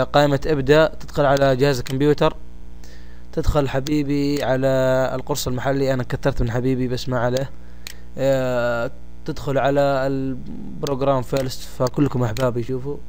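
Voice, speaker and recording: A man with a Gulf Arabic accent talks quickly through a casual software tutorial. His voice sits at a medium-high, ordinary male pitch, and he speaks informally, as if to friends. There is slight noise behind him in what sounds like a home recording. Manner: informal, colloquial, addressing listeners familiarly. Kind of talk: casual step-by-step screen tutorial.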